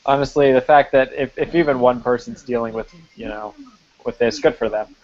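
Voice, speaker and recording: A man in a loose, unscripted chat who peppers his talk with swearing and "like". His voice sits at an ordinary middle pitch. The sound is a bit dull and muffled, like online call audio. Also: brisk, darkish tone. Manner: swears freely, lots of "like" fillers. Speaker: film site writer hosting a live-stream chat. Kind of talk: casual live-stream chat.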